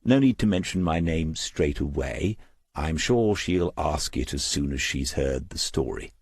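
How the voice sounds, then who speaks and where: Man playing a husky, wry retired detective. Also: extremely low pitch, lively intonation, darkish tone, no echo, studio quality, heavily acted. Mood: matter-of-fact. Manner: wry, understated, deliberate. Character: shrewd, dry-witted retired male police detective.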